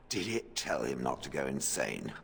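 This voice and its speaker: Rumbling, very deep stone golem. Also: male voice, slow pace, heavily acted. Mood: dry contempt. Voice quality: gravelly, rumbling. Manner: deadpan, refers to people as "it". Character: huge stone golem, dry, menacing, contemptuous. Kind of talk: video game voice acting.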